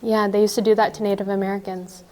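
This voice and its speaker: A woman's voice: medium pitch, little pitch movement, quick pace, a little mellow. A young woman presenting a workshop, explaining history and asking the audience questions in a friendly, engaged way. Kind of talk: workshop talk with audience discussion.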